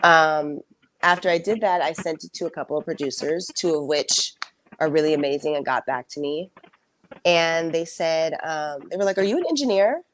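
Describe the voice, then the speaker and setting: A young woman singer telling her story in a casual interview, soft-spoken and reflective, with frequent fillers. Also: sits low, normal speed, a little hiss or hum. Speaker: singer and songwriter guest.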